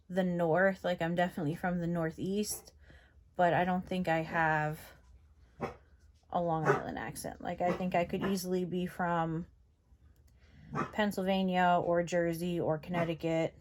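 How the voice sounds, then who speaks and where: A young woman from New York with a general northeastern American accent, chatting casually and rambling as she tests makeup on camera. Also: on the low side. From New York, USA. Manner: chatty, rambling, lots of fillers. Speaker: beauty vlogger. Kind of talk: casual makeup tutorial chat.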